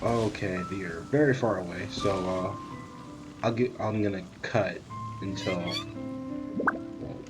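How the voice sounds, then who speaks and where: Low-voiced young man doing game commentary. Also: slow pace, long pauses, over music, low-quality recording. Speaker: gaming video host. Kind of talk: casual let's-play commentary.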